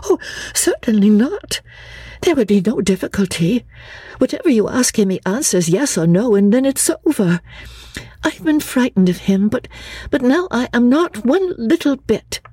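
A nervous young woman who trips over her words, pitched well above the narration and lively in its rise and fall, as a reader puts her on. Her voice is husky and breathy, close to the mic in a dry space. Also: normal speed, no echo. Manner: stammering, hesitant.

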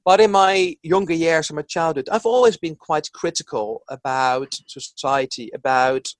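A man's voice: a little high, moderate pace, dry recording. Dutch-accented man, earnest and reflective. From Netherlands. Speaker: psychologist guest. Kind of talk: reflective interview talk.

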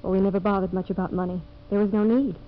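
A woman's voice: medium pitch, fairly even intonation, quick pace, lightly acted. An actress playing a principled, well-spoken young woman, sincere and firm, in a 1950s radio drama. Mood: earnest. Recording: noisy, muffled vintage radio recording.

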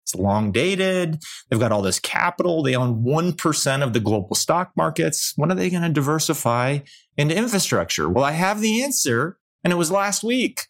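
A man co-hosting a podcast, chatting casually about investing, with halting restarts and quick laughs. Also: on the high side, sing-song. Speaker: podcast co-host.

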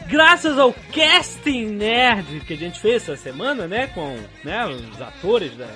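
A man's voice: high-pitched, sing-song, measured pace, music in the background. A Brazilian man co-hosting a casual comedy podcast, jokey and exclamatory, chatting and reading out listener mail. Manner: jokey, exclamatory, prone to laughing. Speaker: podcast co-host.

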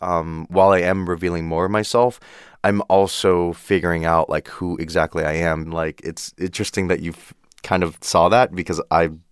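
A man's voice: low-pitched, little pitch movement, normal speed, clear, resonant tone, dry recording, studio quality. A man in his thirties chatting casually as an interview guest, a bit bashful and peppering his speech with "like". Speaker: podcast producer, interview guest. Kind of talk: casual chat.

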